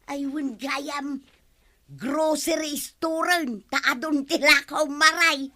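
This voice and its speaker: Female as sly village freeloader, radio comedy: high-leaning, sharp tone, slow exaggerated delivery. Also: steady tone, heavily acted. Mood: nosy, sly. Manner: exaggerated, drawn-out comic delivery. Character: cheeky debt-dodging village gossip woman. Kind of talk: comic radio drama acting.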